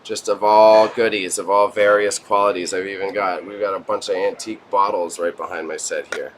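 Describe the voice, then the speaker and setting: A man's voice: deep voice, steady tone, normal speed, a little hiss or hum, poor audio. A Canadian man chatting casually with viewers as a livestream host, with slangy, joking banter. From Toronto, Canada. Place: small warehouse space stacked with goods.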